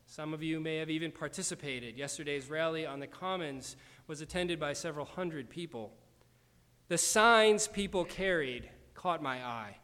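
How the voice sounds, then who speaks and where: A man preaching a sermon in a measured, deliberate way, slowing down for emphasis. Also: on the high side, a bit bright, big-room sound. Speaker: pastor.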